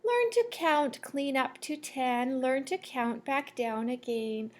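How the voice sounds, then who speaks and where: A woman with a high voice reads aloud to children at a slow, deliberate pace. She has the manner of a teacher sharing a picture book, giving the lines a sing-song, storytelling shape. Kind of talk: children's read-aloud storytelling with asides to listeners.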